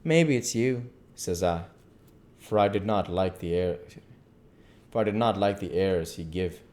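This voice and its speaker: A man's voice: deep voice, fairly even intonation, measured pace, darkish tone. A man reading a classic novel aloud as an amateur narrator, stumbling and repeating phrases as he goes. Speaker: amateur audiobook reader.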